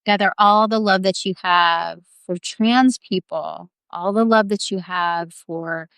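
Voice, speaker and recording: A woman's voice: medium pitch, takes it slowly, fairly bright tone, smooth, no room sound. A woman leading a guided visualization in a tender, earnest, coaxing way, improvising as she goes and repeating her phrases. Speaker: guided meditation leader.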